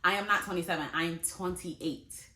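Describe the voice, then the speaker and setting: A woman's voice: fairly low pitch, steady tone, moderate pace, pushed and bright. A woman in her late twenties talking casually and chattily to her viewers, addressing them directly and laughing easily. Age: 20s. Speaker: vlogger. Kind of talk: casual talk to camera. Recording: echoey room.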